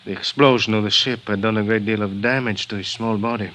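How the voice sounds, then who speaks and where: Actor doing a grave Mexican doctor. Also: male voice, on the low side, steady tone, fairly bright tone, lightly acted. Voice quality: measured, hesitant, halting. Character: middle-aged male Mexican hospital doctor, grave and formal. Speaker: actor in radio drama. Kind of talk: 1950s radio drama acting. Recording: old radio broadcast with faint hiss.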